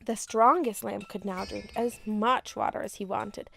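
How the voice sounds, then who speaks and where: A woman's voice: mid-range voice, fairly even intonation, a little slow, vocal fry. A woman narrating a children's fairy tale as a storyteller, reading from a script. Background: music.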